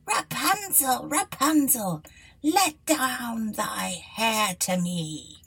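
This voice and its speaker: A woman voicing a stern old enchantress with a hushed, breathy delivery in a storybook reading. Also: fairly high pitch, lively intonation, very slow, pitched above the performer's own voice, heavily acted. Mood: calling.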